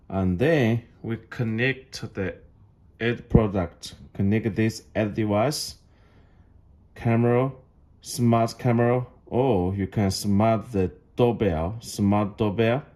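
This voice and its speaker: Male product demonstrator, non-native English speaker, lowish pitch, unhurried and halting, walking through setup steps. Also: a little mellow. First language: not English. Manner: halting, repeats phrases. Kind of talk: step-by-step tutorial, unscripted.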